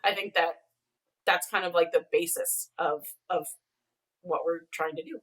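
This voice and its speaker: Bright-voiced woman, slightly distant mic. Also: on the low side, steady tone, unhurried. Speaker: podcast creator being interviewed. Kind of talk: casual interview chat. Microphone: video call.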